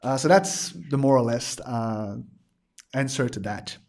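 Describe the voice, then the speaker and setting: Man losing his voice, halting. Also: medium pitch, a little mellow. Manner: hesitant, many "uh" fillers and restarts. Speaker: digital art teacher hosting a livestream. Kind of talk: casual livestream talk to viewers.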